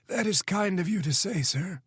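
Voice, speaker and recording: A man voicing a frail, formal male spy chief in his eighties, who speaks in a measured way and keeps saying sir. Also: high-pitched, expressive, a bit bright, slightly rough, dry recording, clean studio sound, voice pushed up, heavily acted. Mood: quiet, resigned. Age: 80s.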